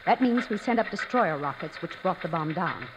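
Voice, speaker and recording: A woman's voice: fairly low pitch, expressive, lo-fi sound, natural voice. A woman acting in a 1950s radio drama, playing a matter-of-fact, skeptical army lieutenant of the future. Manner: brisk, military, matter-of-fact. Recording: noisy old radio recording.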